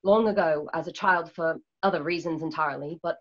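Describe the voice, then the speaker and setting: A woman playing a calm, wise healer in a radio drama, speaking in measured, formal phrases. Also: sits low. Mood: calm, reflective. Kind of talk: online radio drama acting. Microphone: video call, home setup. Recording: dull lo-fi video call.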